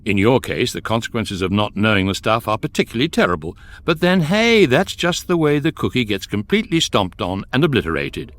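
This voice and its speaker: A man's voice: mid-range voice, very animated, a little fast, hardly any pauses, a bit bright. A man playing a droll, all-knowing electronic guidebook, narrating a comic radio drama in a dry, mock-lofty manner. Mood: wry, explanatory.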